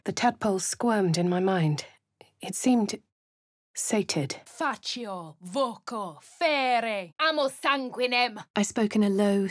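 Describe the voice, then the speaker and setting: A woman with a posh English accent voicing a composed young adult adventurer, with measured, clipped narration in a dry studio. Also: medium pitch, dry recording. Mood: incanting. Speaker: player character voice. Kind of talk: game voice lines.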